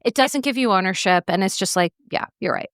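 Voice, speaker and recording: A woman hosting a podcast in casual chat, outspoken and animated, swearing freely. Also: medium pitch, a little fast, dry recording, clean studio sound. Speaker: podcast host.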